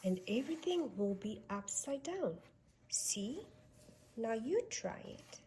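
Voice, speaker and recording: A woman reads a picture book aloud for small children. Her voice is fairly high and swings widely in pitch in a sing-song way. She takes it slowly, leaving room for little listeners to follow. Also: slight background noise, rough recording. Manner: expressive, sing-song delivery for young children. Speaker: storybook reader. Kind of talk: read-aloud children's storytelling.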